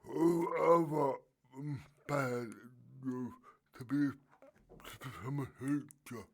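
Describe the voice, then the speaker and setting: A man asking interview questions, reading them haltingly and repeating words. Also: medium pitch.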